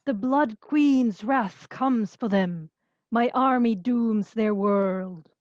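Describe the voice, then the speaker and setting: An evil fantasy queen, acted by a woman who speaks slowly and grandly. She is disdainful and commanding, a villain ruling over her minions. The sound is slightly dull and dry. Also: fairly high pitch, darkish tone, no room sound. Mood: menacing. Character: villainous evil orc queen, imperious and contemptuous. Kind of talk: fantasy comedy table read.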